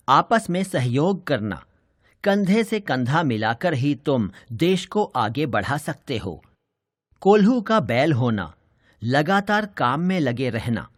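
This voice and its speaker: A man narrates a children's lesson like a teacher, reading from a script. His voice is slightly high, with lively intonation and a slow, measured pace. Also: dry recording, studio quality.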